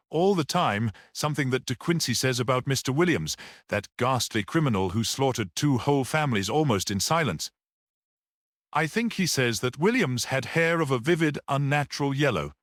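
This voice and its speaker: A man voicing a confident, worldly man in a mystery audiobook, with an animated conversational manner. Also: mid-range voice, no room sound, studio quality, pitched above the performer's own voice, lightly acted. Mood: unsettled, animated. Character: confident, worldly man discussing a murder trial. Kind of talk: audiobook character reading.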